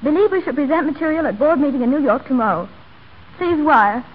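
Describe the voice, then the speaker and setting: A woman's voice: high voice, fairly quick, narrow, tinny audio, close to an everyday voice. An actress playing a loyal, anxious woman friend in an earnest, theatrical 1940s radio drama style. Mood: careful. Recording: thin old radio recording, music underneath.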